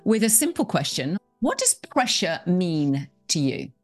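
A woman hosts an interview podcast in a fairly low voice. She reads the guest's introduction and then asks reflective questions with enthusiasm. Faint music sits under parts of it. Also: big pitch swings, a little slow. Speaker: podcast host.

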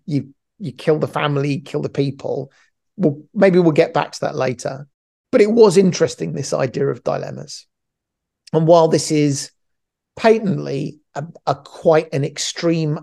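Middle-aged man, gravelly voice, podcast co-host, rambling, joking, casual. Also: a little high, darkish tone. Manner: rambling, joking, restarts phrases often.

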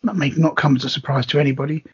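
A man co-hosting a podcast, talking in a relaxed, hesitant way with many ums and a very raspy voice. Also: medium pitch, very fast. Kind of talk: casual hobby chat. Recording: dull lo-fi audio.

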